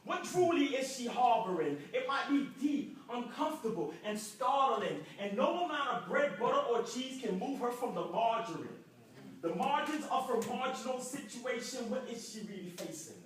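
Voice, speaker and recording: A man's voice: very high voice, big pitch swings, moderate pace, a little hiss or hum. Echoey young man performing spoken word. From Jamaica. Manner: rhythmic rhyming delivery. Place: indoor arts center hall. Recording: echoey room from far off.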